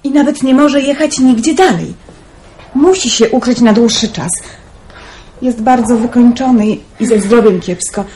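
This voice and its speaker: A woman's voice: fairly high pitch, moderate pace, mellow, natural voice. A woman acting an insistent young engaged woman in a Polish wartime radio drama. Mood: pleading, insistent. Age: young adult. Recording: faintly noisy radio play recording.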